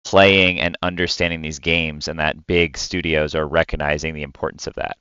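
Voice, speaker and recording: A man's voice: a bass voice, little pitch movement, normal speed, pushed and bright, clean tone, dry recording. A man co-hosting a podcast, chatting casually in a laid-back, agreeable way over slightly dull audio. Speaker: podcast co-host. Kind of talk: casual chat.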